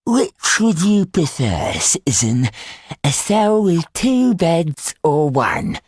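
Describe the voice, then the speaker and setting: A man voicing an ugly, bad-tempered, drunken prison turnkey in an audiobook, sneering and drawling with a rasp. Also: on the high side, huge pitch swings, slow pace, no echo, clean studio sound, pitched above the performer's own voice, heavily acted. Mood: sneering, mock-polite.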